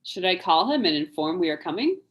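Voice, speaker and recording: A woman's voice: fairly low pitch, big pitch swings, brisk. A woman in an amateur table read playing a practical, skeptical detective's sidekick in a matter-of-fact way. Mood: brisk, practical.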